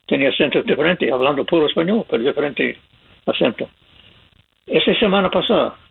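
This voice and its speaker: Raspy older man on a tinny line. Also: medium pitch. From California, USA. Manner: plain-spoken, laughs while telling stories. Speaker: immigration advice guest. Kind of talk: casual radio advice talk. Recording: tinny phone-line sound.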